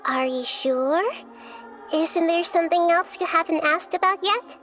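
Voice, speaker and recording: A woman voicing a gentle, thoughtful young-seeming goddess of wisdom in a game cutscene, explaining things calmly and carefully. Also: very high-pitched, faint music behind. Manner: calm, measured, explanatory.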